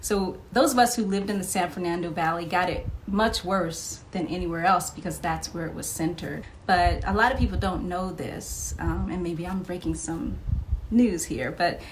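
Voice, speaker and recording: A woman's voice: fairly low pitch, moderate pace, slight background noise. A woman telling personal anecdotes as an interview guest in a casual, conversational way.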